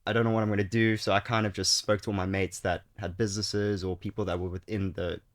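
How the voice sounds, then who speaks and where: A young man with a South African accent and a fairly low voice, speaking as a guest on a podcast. He talks in a relaxed, chatty way, full of fillers and restarts. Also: quick pace. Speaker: guest, startup founder. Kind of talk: casual interview chat.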